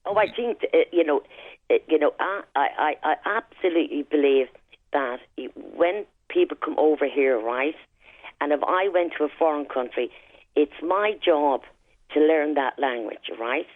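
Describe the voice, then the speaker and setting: A woman's voice: low voice, normal speed, no echo. A middle-aged Irish woman calling a radio show, talking emphatically and often tagging "right?" and "you know". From Ireland. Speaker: caller. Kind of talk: phone-in call. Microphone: telephone line. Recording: tinny phone line.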